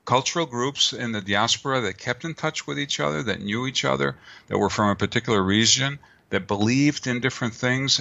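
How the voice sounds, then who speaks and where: A man's voice: mid-range voice, gravelly, sharp tone. A middle-aged man answering interview questions at length, rambling with many fillers and restarts. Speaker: guest author and martial artist. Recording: dull lo-fi remote audio.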